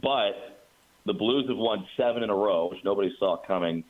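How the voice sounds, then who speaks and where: A man's voice: fairly low pitch, normal speed. An American man, a radio play-by-play announcer, giving conversational sports analysis as a guest over a remote call. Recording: muffled phone-like call.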